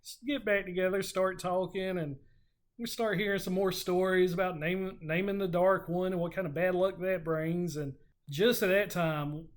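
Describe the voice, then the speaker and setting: A co-host casually recapping and discussing a book, telling the story in an informal, slightly halting way. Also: medium pitch, fairly even intonation. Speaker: podcast co-host.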